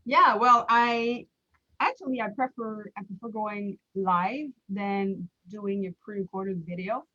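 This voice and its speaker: Slow-talking Quebec French woman. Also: medium pitch, bright, forceful tone. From Quebec, Canada. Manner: slow, measured, laughs lightly. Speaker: guest. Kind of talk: casual live interview. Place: home room. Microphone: video call. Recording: dull lo-fi video call audio.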